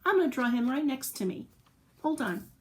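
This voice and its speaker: A woman's voice: high-pitched, sing-song, moderate pace, slight background noise. A woman hosting a children's storytime, telling a story and chatting to young listeners in an encouraging way with simple explanations and questions. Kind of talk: read-aloud storytelling and chat to young children.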